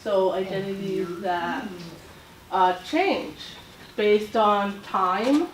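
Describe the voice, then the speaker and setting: A woman from Latvia giving an informal talk to a group as a self-advocate, speaking haltingly with many fillers and restarts. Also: medium pitch, a little hiss or hum. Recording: roomy echo, voice far from mic, faint ambience.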